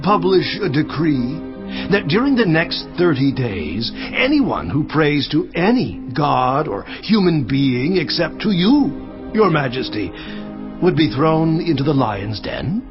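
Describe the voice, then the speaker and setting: An actor playing a scheming, flattering royal official in a scripture audio drama, theatrical, obsequious and insinuating. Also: male voice, fairly high pitch, unhurried. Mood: accusing. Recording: muffled sound, faint music underneath.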